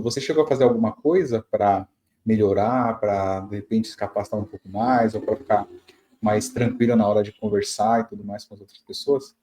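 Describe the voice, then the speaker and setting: A man's voice: lowish voice, dark tone, a little hiss or hum. A man hosting an interview, giving short acknowledgments and asking long, loose questions. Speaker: host.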